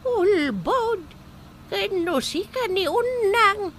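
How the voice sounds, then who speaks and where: A shrill, piercing old aunt in a radio comedy, drawling slowly and sounding bright and pointed. She is blunt and suspicious, and her teasing lands hard. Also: expressive, a little hiss or hum, exaggerated voice. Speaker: visiting aunt.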